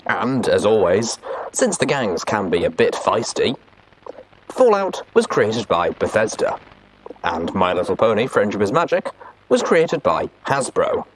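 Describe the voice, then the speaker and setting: A man's voice: on the low side, big pitch swings, a little slow, background noise. A man hosting a reading show, giving a scripted introduction in a theatrical, announcing manner. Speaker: host of a fan fiction reading show.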